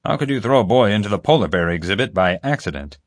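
A man's voice: lowish voice, expressive, no room sound, clean studio sound. A man voicing a stern, controlled investigator who questions firmly and with authority in an audiobook. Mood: incredulous. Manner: measured, firm questioning.

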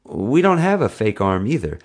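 A man's voice: fairly low pitch, very animated, normal speed, a little mellow, voice pushed up. A man voicing a smart, anxious schoolboy who explains things and gets evasive under pressure, in an audiobook story. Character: smart, anxious schoolboy, son of zoo scientist.

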